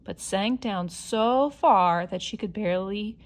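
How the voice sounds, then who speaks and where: A woman narrates a children's storybook at a very slow pace. Her voice sits at an ordinary female pitch, following a scripted tale line by line. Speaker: storybook reader.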